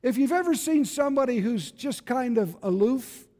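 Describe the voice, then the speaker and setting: A man's voice: very high-pitched, lively intonation, moderate pace, a little mellow. A man of about seventy, preaching a fervent evangelical sermon in an exhorting, emphatic way, repeating phrases for weight. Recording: reverberant hall with long echo.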